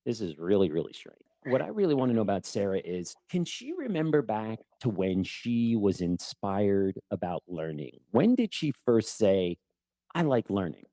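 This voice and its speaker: A man's voice: on the low side, big pitch swings, normal speed, dark tone, no room sound. A man hosting a casual interview podcast, talking in an enthusiastic, rambling, self-deprecating way. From United States. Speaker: podcast host and former teacher.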